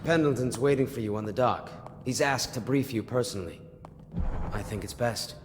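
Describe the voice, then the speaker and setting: An actor playing a stern, calculating former overseer in a video game, grave and formal. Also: male voice, medium pitch, moderate pace, over music, lightly acted. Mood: grave, resolute. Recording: long reverberant hall.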